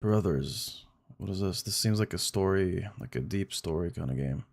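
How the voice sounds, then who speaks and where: Chatty male streamer, lowish voice. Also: animated, normal speed, vocal fry, darkish tone. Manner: friendly, chatty, addresses viewers directly. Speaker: game streamer. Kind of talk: casual live-stream chat.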